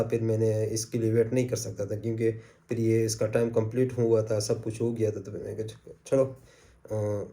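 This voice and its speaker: Man with a sore throat, low dark voice. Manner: easygoing, repeats points, frequent "uh" fillers. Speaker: channel host. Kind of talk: casual advice talk to camera.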